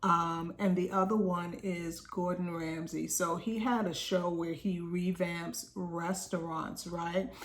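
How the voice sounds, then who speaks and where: A woman with a slightly raspy voice, coaching small business owners on a video call in a conversational way, often tagging "right?" onto her points. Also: lowish voice. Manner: reads quoted passages aloud, then explains.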